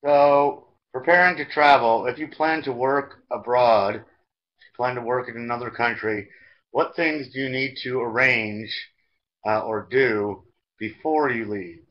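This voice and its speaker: A man's voice: mid-range voice, measured pace. An American man teaching an online language class, instructional and repeating himself as he goes. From United States. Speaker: English teacher. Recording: dull, lo-fi online call audio.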